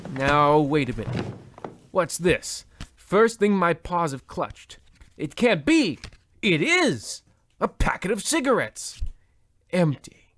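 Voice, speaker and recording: A man's voice: sits high, fairly bright tone, dry recording, full character voice. An actor playing a pompous, overwrought husband half out of his wits, theatrical and florid, in a comedic old-time radio play. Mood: indignant.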